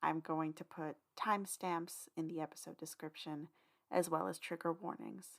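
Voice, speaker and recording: Low, creaky-voiced woman presenter. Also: steady tone, normal speed, fairly bright tone. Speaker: podcast presenter. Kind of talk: informal spoken disclaimer.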